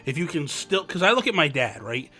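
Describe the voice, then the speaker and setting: Chatty New podcast host. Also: male voice, a little high, very animated, brisk, pushed and bright. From New Jersey. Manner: chatty, rambling, laughs easily. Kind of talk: casual podcast chat.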